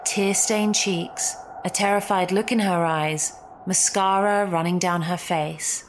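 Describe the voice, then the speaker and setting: A woman's voice: lowish voice, steady tone, takes it slowly, background noise. Woman narrating a personal story. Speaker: narrator reading listener stories.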